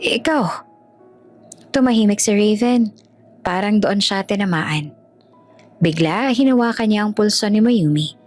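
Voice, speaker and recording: A woman's voice: medium pitch, normal speed, a little mellow. A woman with a Filipino accent giving a dramatic scripted narration as a storyteller. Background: music.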